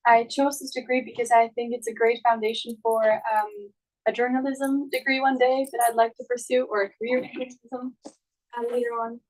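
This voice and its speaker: A young woman from Slovakia giving unscripted answers as a student on a panel, often pausing mid-phrase. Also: on the high side, little pitch movement, darkish tone, poor audio. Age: young adult.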